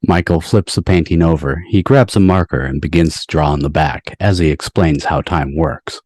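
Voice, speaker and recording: A man's voice: a bass voice, moderate pace, a little mellow. A man reading stage directions as scripted narration for an amateur table read.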